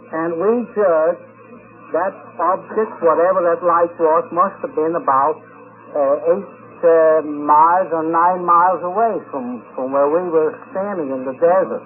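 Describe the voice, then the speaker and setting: A man being interviewed on location, telling a personal account in a halting, rambling way. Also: fairly high pitch. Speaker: convention attendee being interviewed. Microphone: shared interview microphone. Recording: tinny 1950s radio field recording.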